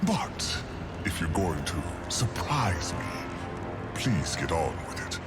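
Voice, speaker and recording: Scheming demon lord, deep, wildly animated pitch, silky, mockingly courtly, game cutscene, loud music. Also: male voice, slow, heavily acted. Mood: scheming, insistent. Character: suave, scheming demon lord, pompous and theatrical. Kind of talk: video game cutscene acting.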